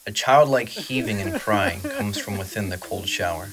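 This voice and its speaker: A man narrating a horror audio drama with measured, dramatic, scripted storytelling. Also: fairly low pitch, lively intonation, crowd noise, low-quality recording.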